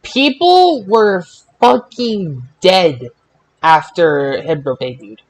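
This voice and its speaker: A man's voice: high voice, expressive, takes it slowly. A man co-hosting a casual wrestling podcast, talking with exasperation and plenty of swearing. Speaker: podcast co-host. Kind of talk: casual wrestling review chat.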